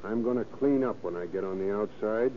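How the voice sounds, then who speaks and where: An actor playing a cocky, hardened convict who boasts in tough slang in a 1930s radio crime drama. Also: male voice, fairly low pitch, fairly quick, lightly acted. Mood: guarded, boastful. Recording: muffled, noisy old radio recording.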